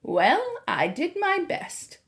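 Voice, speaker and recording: A woman voicing a firm, affectionate old grandmother in a storybook, speaking in a measured, emphatic way. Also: high-pitched, very animated, fairly bright tone, pitched above the performer's own voice, exaggerated voice. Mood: firm, patient.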